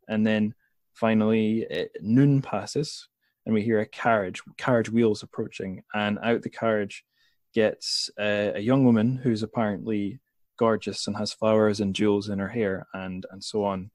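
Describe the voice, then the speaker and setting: A man's voice: on the low side, a little mellow, no echo, clean studio sound. A man hosting a podcast, chatting and summarizing in an informal, inquisitive way. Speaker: podcast host. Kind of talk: casual chat and informal summarizing.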